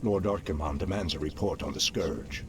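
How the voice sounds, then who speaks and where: An actor playing a stern, impatient demon overseer in a fantasy video game, with an imperious, menacing manner. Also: male voice, a bass voice, moderate pace. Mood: stern, demanding.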